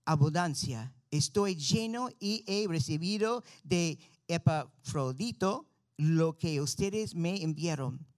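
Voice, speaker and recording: A man's voice: high voice, a little mellow. An American man, a native English speaker, giving an earnest missionary testimony and sermon to a church with an American accent. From Florida, United States. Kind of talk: testimony and preaching to a congregation.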